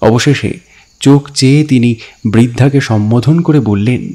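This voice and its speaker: A man narrating a scripted story dramatically, in a deliberate, expressive storytelling manner. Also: medium pitch. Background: background music.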